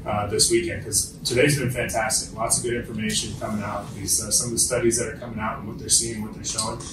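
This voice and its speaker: A man's voice: on the low side, level tone, fast, background noise. A man co-hosting a podcast in a hotel suite, speaking with enthusiasm and trailing off into fillers. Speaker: podcast co-host. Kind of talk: casual interview. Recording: roomy hotel suite, distant mic.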